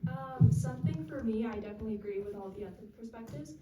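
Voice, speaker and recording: A woman on a panel, speaking quickly to add her answer. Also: fairly high pitch, crowd noise. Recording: echoey room, distant mic.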